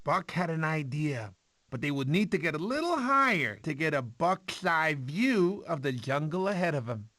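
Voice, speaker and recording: Goofy, doting sloth with swooping pitch. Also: male voice, sing-song, moderate pace, sharp tone, full character voice. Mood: dramatic storytelling. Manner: rambling, fussy, doting storyteller. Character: goofy, sentimental male sloth uncle telling baby a story. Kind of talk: animated film character narration.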